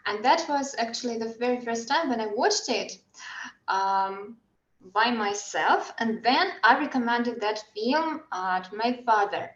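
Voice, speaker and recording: A woman whose first language is Russian, chatting in English in a reflective way and pausing to search for words. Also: on the high side, animated. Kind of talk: casual group chat in English. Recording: roomy, slightly dull online call.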